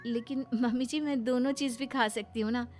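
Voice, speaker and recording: A woman's voice: on the high side, steady tone, normal speed, over music, natural voice. A woman voicing an affectionate, reasonable young daughter-in-law in a cartoon story. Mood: affectionate, pleading.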